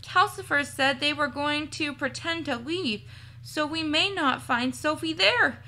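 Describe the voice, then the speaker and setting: A woman's voice: high-pitched, measured pace, sharp tone, pitched above the performer's own voice, heavily acted. A woman voicing an earnest, worried girl heroine from a storybook, who frets and thinks aloud in a chatty way. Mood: dismayed.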